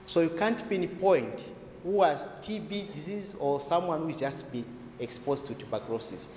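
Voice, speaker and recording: A man speaking as a health expert in a didactic, deliberate way, asking questions and answering them himself as he explains. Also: on the high side, a little mellow. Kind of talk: explanatory interview talk for a documentary. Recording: echoey hall-like room, faint noise.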